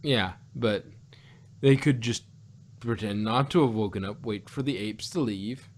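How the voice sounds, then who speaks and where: A man reviewing in a casual podcast conversation, talking in a halting, animated, argumentative way and often repeating himself. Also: lowish voice, slight background noise. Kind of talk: casual review chat about an audio drama.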